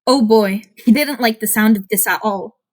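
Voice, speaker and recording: A woman's voice: on the high side, normal speed. A woman narrating fan fiction as an amateur audiobook, reading informally with some stumbles over words. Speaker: podfic narrator.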